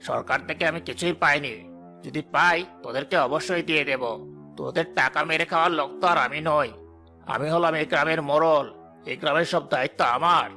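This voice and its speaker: Cartoon casting: male actor as greedy, callous village boss, forceful bright tone, fairly high pitch, scolding. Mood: evasive, self-important. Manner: dismissive, scolding, self-important. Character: greedy, callous, deceitful village headman and husband. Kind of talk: cartoon voice acting. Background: faint music.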